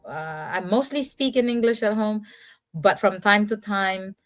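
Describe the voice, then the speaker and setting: A woman's voice: medium pitch, measured pace. A middle-aged woman from the Philippines, a native Ilonggo speaker, hosting a podcast and giving earnest advice with some hesitant fillers. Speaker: podcast host. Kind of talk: casual podcast chat and closing remarks.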